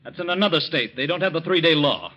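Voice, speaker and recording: A man playing an impulsive, defiant young son in a 1940s radio drama, with an urgent, excitable manner. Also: a little high, fast. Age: young adult. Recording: dull lo-fi old radio recording.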